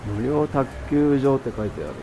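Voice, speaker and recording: A man's voice: mid-range voice, muffled recording, noisy background. A man from Japan with a Japanese accent, a native Japanese speaker, chatting and narrating casually as a travel vlogger. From Toyama, Japan. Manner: halting, searching for words in English. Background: music.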